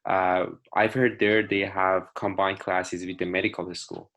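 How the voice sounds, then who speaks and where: A man's voice: sits low, flat delivery, measured pace, fairly bright tone. A young man casually asking questions as an interviewer.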